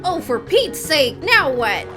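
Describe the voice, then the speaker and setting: A woman's voice: high-pitched, very animated, slow, over music, voice pushed up, heavily acted. Woman putting on a grumpy old dwarf miner. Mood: exasperated. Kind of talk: reading game dialogue aloud.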